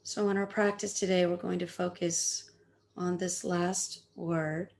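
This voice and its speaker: A woman leads a guided meditation in a fairly low voice. She speaks very slowly and gently, leaving long pauses between phrases. Her delivery is soothing, like a teacher giving soft instructions. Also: little pitch movement, gravelly.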